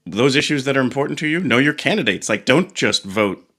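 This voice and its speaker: A man hosting an interview in a relaxed, encouraging way, with many fillers. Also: medium pitch, a little fast, a crowd in the background. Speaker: show host. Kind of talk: casual interview chat.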